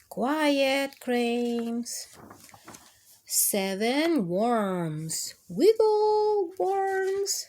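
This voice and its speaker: Woman, children's storytime reader, lively melodic intonation, very slow delivery, expressive, drawn-out words. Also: sits high, a little hiss or hum. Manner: expressive, drawn-out words, addresses young listeners directly. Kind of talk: read-aloud picture books for young children.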